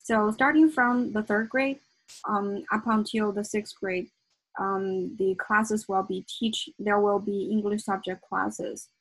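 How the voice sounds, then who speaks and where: Woman lecturing, kinda husky, lots of ums, dry close sound. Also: mid-range voice, fairly even intonation, slow, no echo, rough recording. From China. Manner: frequent ums and self-corrections. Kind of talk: academic presentation.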